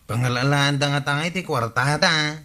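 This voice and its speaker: An actor with an Ilocano accent playing a grumbling, money-minded tricycle driver given to gossip, in a radio comedy drama. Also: male voice, fairly high pitch, moderate pace, sharp tone. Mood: gossipy.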